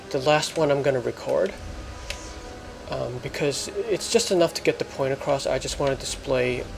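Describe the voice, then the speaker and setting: A man hosting a let's play, explaining things in casual, halting gameplay commentary. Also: medium pitch, gravelly, background noise. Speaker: gamer, let's play host.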